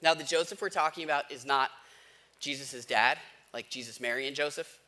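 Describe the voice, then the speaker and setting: Bright-voiced American man preaching conversationally. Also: mid-range voice, a little fast. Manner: informal, wry asides, addresses listeners directly. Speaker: pastor preaching to a congregation. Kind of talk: conversational storytelling sermon.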